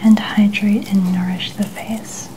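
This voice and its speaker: A woman speaking in a soft, mostly whispered voice, gently and soothingly narrating each step of an ASMR personal-care role-play. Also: medium pitch, flat delivery, unhurried, slight background noise, rough recording. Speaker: ASMR creator.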